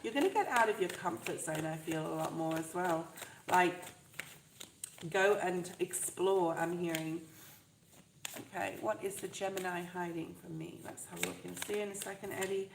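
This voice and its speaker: A woman with a stuffed-up, fluey voice, chatting warmly and reassuringly to viewers during a live tarot reading. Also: lowish voice, moderate pace, creaky, echoey room, background noise. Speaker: tarot reader.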